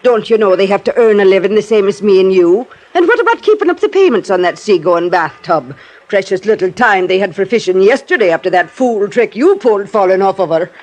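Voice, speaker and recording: A woman's voice: medium pitch, very animated, brisk, a little mellow, natural voice. Woman acting a bossy landlady, swooping delivery. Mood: scolding. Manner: scolding, exclamatory, folksy. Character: bossy, motherly, salty boarding-house woman in a fishing village. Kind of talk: 1950s radio acting.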